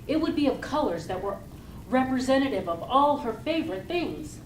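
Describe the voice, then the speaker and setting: Woman, club speaker, storytelling speech, deliberate expressive delivery, distant microphone, clear background noise. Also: sits high. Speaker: club speaker giving a tall tale speech. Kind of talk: rehearsed storytelling speech to an audience. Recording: roomy, distant mic with loud noise.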